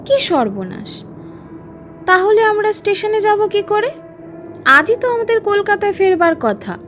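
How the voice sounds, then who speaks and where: A woman's voice: extremely high pitch, sing-song, a little slow, fairly bright tone, slight background noise, voice pushed up, exaggerated voice. A woman voicing an impatient, practical male traveller in a horror audio story, direct and insistent. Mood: urgent.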